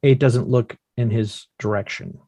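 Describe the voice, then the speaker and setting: Narrator: male, mid-pitched, scripted stage-direction reading, very dry recording. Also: fairly even intonation, a little slow, mellow, no room sound.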